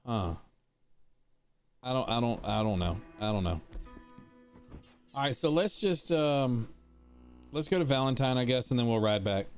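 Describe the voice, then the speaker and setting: Man, 40, game streamer, casual chat, rambling, repeats words, mid pitch. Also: lively intonation, a little slow, long pauses, faint music behind. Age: 40s. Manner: rambling, repeats himself, chats with viewers. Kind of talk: casual live-stream chat over gameplay.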